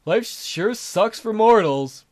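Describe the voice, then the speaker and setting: A man's voice: high-pitched, lively intonation, takes it slowly, a little mellow. A man voicing a self-absorbed, fatalist god who complains in a dramatic way. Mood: gloomy, melodramatic.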